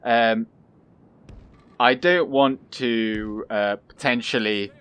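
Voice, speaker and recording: A young man giving calm, casual commentary over his own gameplay, soft-spoken and pausing often with fillers. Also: medium pitch, smooth. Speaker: gaming channel host.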